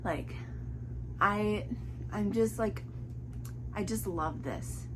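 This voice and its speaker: A woman's voice: medium pitch, expressive, drawn-out words. Young woman, casual book vlogger, cold in her voice, chatty and filler-heavy; noisy recording with hum. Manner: chatty, rambling with many fillers. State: fighting a cold. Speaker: book reviewer. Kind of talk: casual book review vlog.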